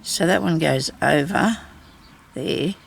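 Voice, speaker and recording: An older woman with a deep, raspy voice, chatting casually and laughing at herself while she does craft work. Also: slow. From Australia. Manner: chatty, self-deprecating, laughs often. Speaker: craft vlogger.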